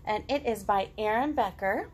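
A woman in her thirties leading a storytime for young children, expressive and encouraging, with simple child-directed phrasing. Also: medium pitch, moderate pace, slight background noise. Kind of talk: animated children's storytime, reading aloud and chatting to young children. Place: living room at home.

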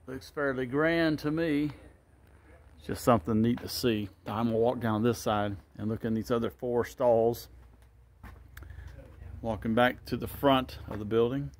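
A man's voice: mid-range voice, a little slow, a little hiss or hum. A man giving a casual walking tour of an old wooden barn, thinking aloud and unsure of what he sees, recorded on a handheld phone. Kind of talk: casual walk-and-talk narration. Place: open-sided wooden barn outdoors. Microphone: handheld phone camera.

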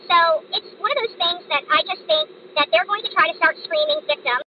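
A woman's voice: very high-pitched, fairly quick. A middle-aged American woman rambling insistently to her viewers in a casual, self-justifying vlog monologue. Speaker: online creator. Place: inside a car. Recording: muffled audio with loud vehicle noise.

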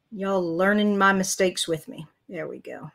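Bright-voiced chatty older woman crafter. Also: medium pitch, vocal fry. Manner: chatty, self-correcting, reads viewer comments aloud. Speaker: card-making host. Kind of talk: live crafting tutorial. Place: craft room at a desk.

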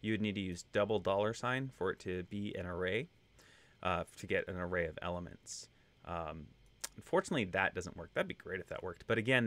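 A man in his thirties with a light Texan accent talks through code in a relaxed, unhurried way, full of little fillers. His voice sits low. The sound is close and dry, with no room around it. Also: dry recording. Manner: relaxed, conversational explaining with fillers. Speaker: web testing instructor. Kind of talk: screen-share coding tutorial.

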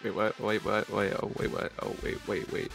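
A man's voice: lowish voice, little pitch movement, normal speed, creaky, loud music behind the voice. A man chatting casually while playing a game with friends, muttering repeated exclamations and laughing easily. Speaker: co-player on stream. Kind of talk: casual gaming banter.

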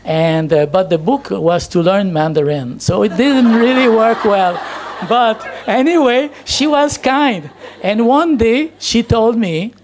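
Gravelly-voiced man preaching at a pulpit. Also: high-pitched, takes it slowly, a little hiss or hum. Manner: storytelling, chatty, laughs at himself. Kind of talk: anecdotal sermon. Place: church hall with projection screen. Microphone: handheld microphone.

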